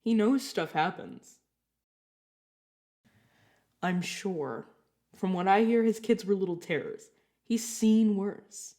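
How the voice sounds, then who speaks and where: A woman's voice: mid-range voice, takes it slowly, pauses often, dark tone, lightly acted. A woman playing a casual, reassuring vampire guide in an audio roleplay, talking informally to the listener as a mentor to a newcomer. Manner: chatty, informal, self-correcting, reassuring.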